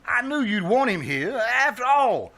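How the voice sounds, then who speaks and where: Man playing bright, high-voiced, breezy radio-comedy bandleader. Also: very animated, unhurried, vocal fry, exaggerated voice. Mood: placating. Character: breezy, self-satisfied married bandleader, a genial wisecracking peacemaker. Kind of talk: live re-enactment of 1940s radio comedy.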